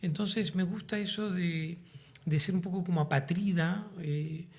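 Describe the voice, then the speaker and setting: A man with a Uruguayan Spanish accent, a playwright guest in an interview, speaking in a reflective, measured way as he searches for words. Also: sits high, steady tone, vocal fry. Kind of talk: interview conversation.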